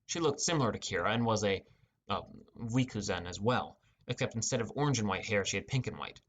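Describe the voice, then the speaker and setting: A man's voice: fairly low pitch, animated, brisk, a bit bright. A man narrating a scripted first-person fantasy adventure as an audiobook.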